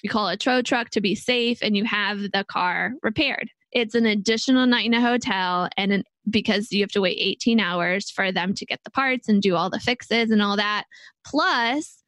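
A woman's voice: medium pitch, moderate pace, a bit bright, no echo. Woman co-host explaining money, dry sound. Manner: walks through a worked money example step by step. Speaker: podcast co-host. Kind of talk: casual explanatory chat.

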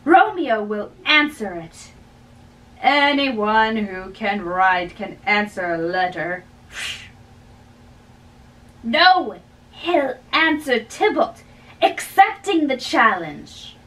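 A young woman doing a halting dramatic play reading in a small bedroom, stumbling over and repeating lines. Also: fairly high pitch, expressive, fairly bright tone. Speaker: amateur play reader. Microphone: camera a few feet away. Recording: distant mic in a bedroom.

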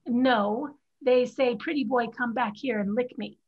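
Over a dull-sounding video call, a woman acts a defiant girl of fifteen who has lived disguised as a boy. Her voice is high, and she delivers the play's lines earnestly. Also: fairly even intonation, measured pace, breathy edge. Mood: distressed, defiant. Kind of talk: staged play reading.

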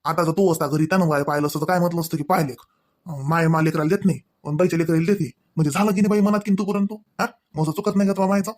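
A man's voice: fairly high pitch, steady tone, very fast, close to an everyday voice. A man playing a fair-minded, chiding elderly village father-in-law in a comedy cartoon, speaking colloquially with a Varhadi Marathi accent and questioning tags.

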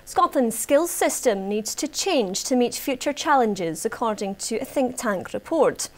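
A woman's voice: fairly high pitch, lively intonation. A Scottish woman in her thirties reading scripted news formally as a studio presenter. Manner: formal, measured delivery. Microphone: lapel mic.

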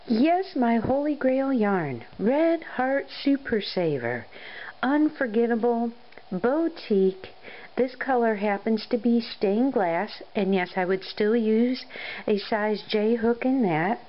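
A woman hosting a crochet how-to, her voice still a bit scratchy and hoarse after a cold, explaining things in a friendly, step-by-step way. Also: on the high side, lo-fi sound. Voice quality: slightly scratchy, recovering voice. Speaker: crochet tutorial host. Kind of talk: casual how-to narration.

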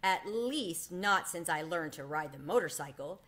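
A woman's voice: lowish voice, animated, a little slow, a bit bright. A woman voicing a small, proud, boastful male mouse in a children's storybook. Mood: indignant, boastful. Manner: offhand bragging, eager.

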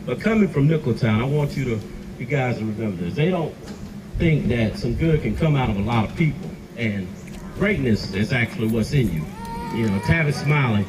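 A man's voice: medium pitch, expressive, moderate pace. Man giving an encouraging talk outdoors. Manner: earnest, encouraging. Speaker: event speaker. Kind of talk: motivational speech to youth. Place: outdoor stage under a tent. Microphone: handheld mic, far camera. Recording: distant camera, noisy outdoors.

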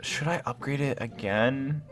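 A young man giving excited, casual gameplay commentary, rambling and thinking aloud in slangy exclamations. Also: medium pitch, unhurried, soft background music. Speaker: gaming video host.

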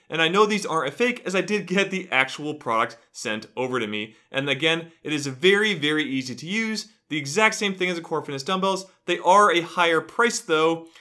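A young man giving an upbeat, steady review of home gym equipment to camera from a small home gym room. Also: a little high. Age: young adult. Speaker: fitness youtuber.

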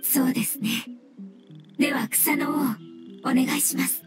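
A woman's voice: sits high, flat delivery, moderate pace, over music, natural voice. A woman playing an earnest, deferential fungus spirit in an anime game, speaking politely and formally. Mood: serious. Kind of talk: Japanese anime game voice acting.

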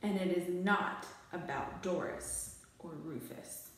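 A woman's voice: lowish voice, slow, heavy reverb, slight background noise. A young adult woman narrating a children's book aloud in a small bare room. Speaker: storybook reader.

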